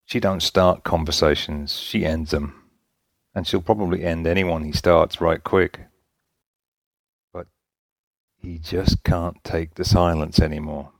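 A man's voice: low voice, steady tone, a little slow, pauses often, no echo. A man narrating a scripted story written in a folksy vernacular, as an audiobook-style reader.

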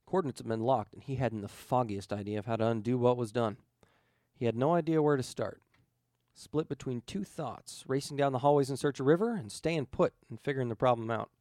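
A man's voice: mid-range voice, darkish tone, dry recording, studio quality. A man reading a scripted story aloud as an audiobook narrator. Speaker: fan fiction audiobook reader.

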